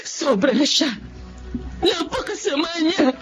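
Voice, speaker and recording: A woman's voice: high-pitched, expressive, unhurried. A woman playing a desperate, remorseful adulterous wife in a Tagalog radio drama, pleading and tearful, with a Filipino accent. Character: remorseful, desperate adulterous wife, defiant toward her lover. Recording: dull lo-fi audio under loud music.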